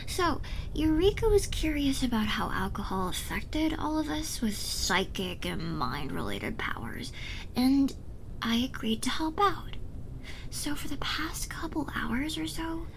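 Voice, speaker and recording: A woman's voice: sits high, very animated, a little slow, a little hiss or hum, exaggerated voice, low-quality recording. A young woman playing a drunk, giddy, smitten rival in an ASMR roleplay, rambling and stammering as she trails off. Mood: tipsy explaining.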